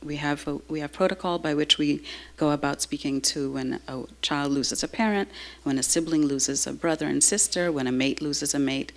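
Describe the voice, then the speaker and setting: A woman giving a slow, halting, heartfelt personal talk to a live audience. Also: sits low. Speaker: speaker sharing a personal story.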